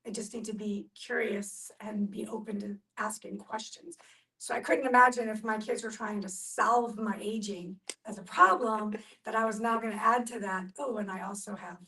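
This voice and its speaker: A woman talks in a reflective group discussion from a little way off the microphone. Her voice sits at a medium pitch, and she explains things earnestly, leaning on repetition to stress her points. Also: steady tone, moderate pace, bright, forceful tone, far from the mic, low-quality recording.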